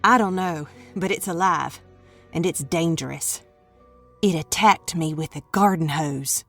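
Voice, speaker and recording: A woman voicing a caring, worried Southern mother who speaks in a low, earnest drawl with a Southern American accent. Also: unhurried, fairly bright tone, faint music behind, exaggerated voice. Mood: worried, pleading.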